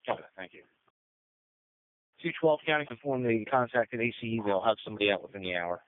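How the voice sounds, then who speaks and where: Fast-talking male radio dispatcher, low-pitched. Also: lively intonation, no echo. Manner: clipped, procedural, matter-of-fact. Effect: two-way radio. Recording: muffled narrow-band two-way radio traffic.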